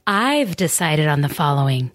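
A woman acts out a wounded ex in a comic radio play about a breakup. The voice is a bit low, and the ex holds on to a strained civility over real bitterness. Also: big pitch swings, moderate pace. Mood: defiant. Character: bitter ex-partner feigning civility after a breakup. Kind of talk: dramatic reading of breakup messages.

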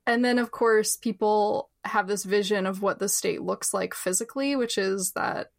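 Creaky-voiced New woman. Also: mid-range voice, normal speed, no room sound, studio quality. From New Jersey. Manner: chatty, many fillers and laughs. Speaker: book editor, podcast guest. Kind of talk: casual interview talk.

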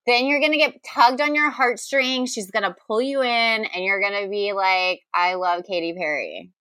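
A woman's voice: medium pitch, moderate pace, pushed and bright, dry recording. A woman co-hosting a podcast in casual chat, talking in a gushing, enthusiastic way. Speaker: podcast co-host.

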